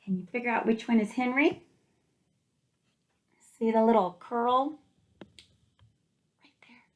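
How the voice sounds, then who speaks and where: A woman teacher reading a picture book aloud to young children, expressively, with gentle questioning asides to the listeners. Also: fairly high pitch, slow pace.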